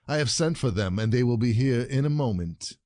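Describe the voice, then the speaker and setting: An actor playing a jealous, gloating peasant husband in a classic comedy audio play, sardonic and declamatory. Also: male voice, medium pitch, lively intonation, a little fast, darkish tone, dry recording, clean studio sound, close to an everyday voice. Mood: gloating triumph.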